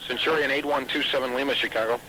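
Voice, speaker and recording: An American man gives air traffic control instructions at a quick clip. His voice is mid-pitched and comes over a noisy aviation radio tape, with clipped, procedural phrasing. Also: steady tone, bright, forceful tone. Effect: aircraft radio transmission. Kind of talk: brisk radio instructions to pilots.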